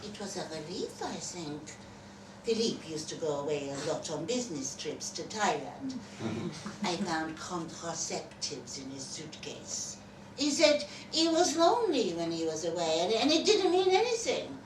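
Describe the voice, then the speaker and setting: A woman's voice: on the low side, big pitch swings, a little slow, pitched below the performer's own voice. A woman voicing a wry, dramatic French wife confessing secrets to her lover in a live story reading. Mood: rueful, wry. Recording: roomy, distant festival mic with background noise.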